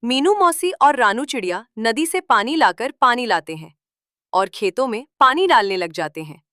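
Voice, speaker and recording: A woman's voice: a little high, lively intonation, normal speed, sharp tone, no echo. A woman narrating a scripted children's story.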